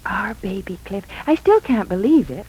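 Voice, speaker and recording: A woman's voice: mid-range voice, very animated, moderate pace, a little mellow, breathy edge, low-quality recording. An actress playing an anxious, tender young wife and mother in a 1940s radio drama. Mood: tender, hushed. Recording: old 1940s radio recording with music.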